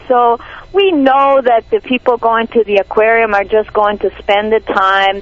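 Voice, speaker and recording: A woman's voice: medium pitch, no echo. A woman with a Peruvian Spanish accent talks as an activist guest on a radio show. She is earnest and indignant and often restarts her sentences. The sound is dull and lo-fi, like an old radio broadcast. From Peru. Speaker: animal rights activist guest. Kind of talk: radio interview.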